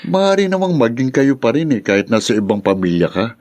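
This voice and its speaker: A man's voice: medium pitch, big pitch swings, a little fast, a little mellow, natural voice. A man playing a concerned, easygoing young friend with a Filipino accent in a radio drama. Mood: concerned, coaxing.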